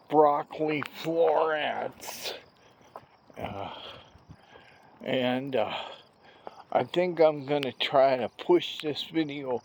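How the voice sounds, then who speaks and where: Man, gravelly voice, very slow halting speech, rambling with pauses, outdoors, phone mic, faint background noise. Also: medium pitch. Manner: rambling, many pauses and restarts. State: recovering from a stroke, slow effortful speech. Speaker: vlogger. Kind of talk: casual walking vlog. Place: outdoors on a walk. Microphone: handheld phone.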